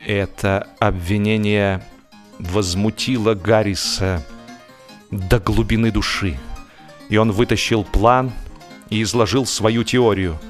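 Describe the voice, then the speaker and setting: Expressive male storyteller over music. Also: lowish voice, slow, pauses often. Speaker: audiobook narrator. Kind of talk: scripted humorous story narration.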